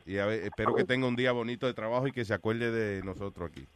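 A man's voice: fairly low pitch, quick pace, heavy background noise. A middle-aged man hosting a radio show, joking with callers and slipping into English phrases. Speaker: radio host. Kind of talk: casual banter.

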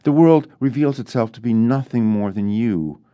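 A man reading his own short story as literary narration, deliberate and with dramatic pauses. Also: medium pitch, warm tone.

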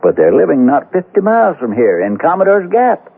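A man's voice: on the high side, huge pitch swings, brisk, gravelly, slightly rough, heavily acted. An actor playing a dogged Western lawman in a radio drama, plain-spoken and folksy as he questions people and narrates his case. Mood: probing. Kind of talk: old-time radio drama narration and dialogue. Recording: tinny old radio broadcast, music behind.

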